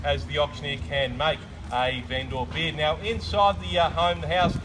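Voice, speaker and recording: A man's voice: on the high side, steady tone, moderate pace. Aussie auctioneer bloke, projecting his voice outside, formal salesy patter, faint traffic behind. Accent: Australian. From Australia. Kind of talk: outdoor property auction spiel. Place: outdoors on a suburban street.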